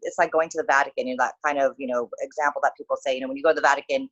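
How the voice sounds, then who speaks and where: Low-voiced Saudi woman on a video call. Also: dull audio. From Saudi Arabia. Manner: animated, laughs often, talks in quick bursts with many fillers. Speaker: interview guest, author and consultancy founder. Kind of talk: casual video-call interview.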